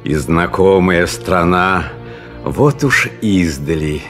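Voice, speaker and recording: A man's voice: low-pitched, animated, slow pace, a bit bright, faint music behind. A man narrating a fairy tale in verse, in a theatrical, declamatory manner.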